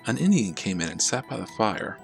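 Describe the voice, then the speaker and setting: A man narrating a scripted horror story as a storyteller, in a measured, dramatic way. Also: fairly low pitch, big pitch swings, vocal fry, faint music behind. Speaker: storyteller, channel host.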